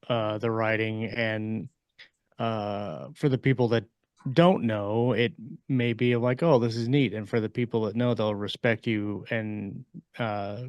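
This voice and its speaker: Male co-host, lowish pitch, relaxed-format chat, dry recording with little room sound. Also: no room sound. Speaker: podcast co-host. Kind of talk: casual podcast conversation.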